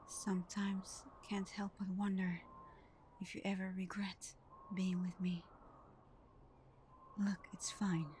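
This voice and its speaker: A woman playing a tender, devoted vampire girlfriend in an intimate ASMR roleplay, speaking softly and breathily, often in a whisper, gentle and reassuring. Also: mid-range voice, slight background noise, natural voice. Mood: guilty, wistful, then resigned, hurt.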